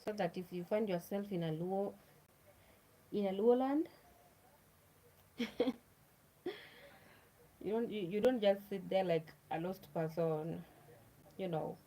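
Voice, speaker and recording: A woman's voice: mid-range voice, slow pace, pauses often, warm tone, rough recording. A Kenyan woman whose first language is Luo, chatting casually to viewers on a livestream, repeating herself and speaking to them directly. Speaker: livestream host.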